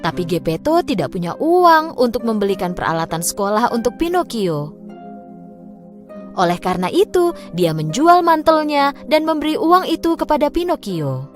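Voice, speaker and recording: A woman reading a children's fairy tale in an expressive storytelling delivery, as scripted narration. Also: on the high side, moderate pace. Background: music.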